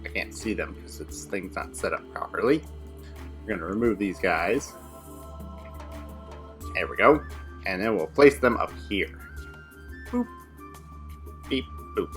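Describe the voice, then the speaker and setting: A young man with a low, bright and forceful voice talks rapidly through his build, narrating each step as he plays. Faint music sits quietly under his casual commentary. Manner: rapid thinking aloud while building. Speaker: co-host playing a modded game.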